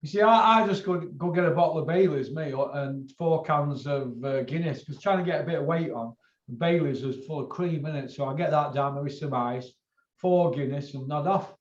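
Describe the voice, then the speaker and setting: Higher-voiced Northern English man, roomy sound. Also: normal speed, muffled recording. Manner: rambling, colloquial, sweary, repeats himself. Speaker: host of a boxing video channel. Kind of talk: casual chat.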